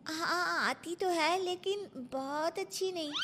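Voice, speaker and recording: A woman's voice: very high-pitched, slow, noisy background, pitched above the performer's own voice. A woman voicing a shy, sheltered village sister-in-law who speaks hesitantly and with self-doubt. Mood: modest.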